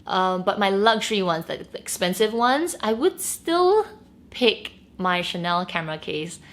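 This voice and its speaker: Female, late 30s, Malaysian accent with a Singaporean edge, bubbly chatty vlogger, mid pitch. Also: sing-song, a little slow, fairly bright tone. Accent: Malaysian, with a Singaporean tinge. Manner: bubbly and chatty, with frequent laughs and squeals. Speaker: beauty and luxury vlogger. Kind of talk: casual Q&A chat to camera.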